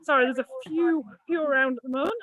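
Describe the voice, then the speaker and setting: A woman's voice: high-pitched, fairly even intonation, fast, a bit bright, dry recording, muffled recording. A woman reporting live outdoors at a park run, bubbly and talking as she walks around, on a phone video call. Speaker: roving reporter. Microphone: phone camera on a video call.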